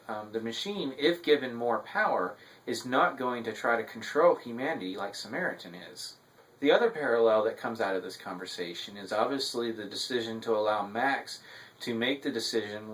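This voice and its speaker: Man giving halting review commentary. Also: mid-range voice, fairly bright tone, low-quality recording. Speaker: TV episode reviewer. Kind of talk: semi-scripted recap and review commentary.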